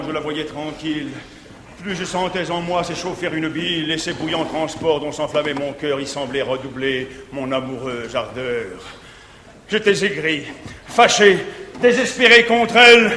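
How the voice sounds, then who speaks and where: An actor playing a jealous, tormented middle-aged guardian, declaiming classical French verse comedy in a grandiose, anguished manner on stage. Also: male voice, fairly high pitch, lightly acted. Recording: 1950s theatre recording, echoey hall, faint hiss.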